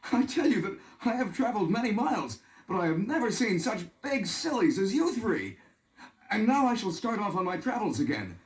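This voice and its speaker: A man's voice: high-pitched, very animated, normal speed. A man playing a sensible young fairy-tale traveler, declaiming theatrically on an old roomy story record. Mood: exasperated, decisive.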